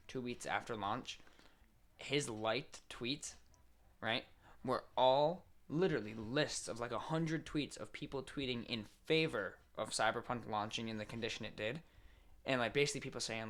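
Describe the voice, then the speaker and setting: A man's voice: mid-range voice, fairly bright tone. A man co-hosting a podcast, explaining his opinions in casual, informal banter with some swearing. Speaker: podcast co-host.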